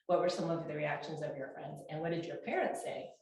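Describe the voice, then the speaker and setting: A woman in her fifties hosts a casual interview in a chatty, loose way, often backing up to restart her sentences. Her voice sits a little low for a woman. The sound is very echoey, picked up by a small clip-on mic in a bare room. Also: brisk, a little mellow. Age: 50s. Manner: chatty, frequent restarts and fillers. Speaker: fitness coach and interview host. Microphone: small clip-on mic shared between speakers.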